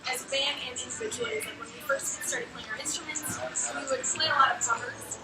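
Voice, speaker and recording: Chatty high-voiced young woman. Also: fairly even intonation, fast talker. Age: 20s. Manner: chatty, lots of "like". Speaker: band member. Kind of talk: casual interview. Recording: echoey room from far off, music behind.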